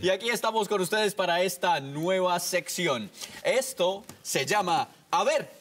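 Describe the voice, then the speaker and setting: Man, game show host, fairly high voice, a bit far from the mic. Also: animated, measured pace, background noise. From Colombia. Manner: playful, laughing often. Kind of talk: hosting a studio comedy game. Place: large TV studio set.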